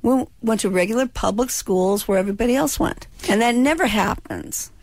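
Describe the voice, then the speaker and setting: A woman's voice: a little high, a little fast, a little mellow, no room sound. A woman in her sixties from California, a former child actor chatting and joking as a radio guest, with a slight lisp. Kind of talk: casual radio interview chat.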